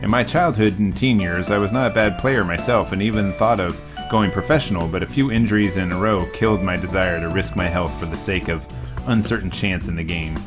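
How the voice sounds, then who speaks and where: A young man casually reading a visual novel aloud as a let's-play host, with joking asides. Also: low voice. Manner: casual, joking, reads deliberately. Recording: music bed under voice.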